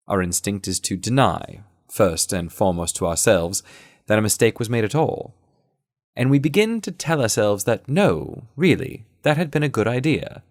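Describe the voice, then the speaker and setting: A man reading a scripted first-person story in a measured storytelling manner. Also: fairly low pitch, no room sound, studio quality. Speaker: audio fiction narrator.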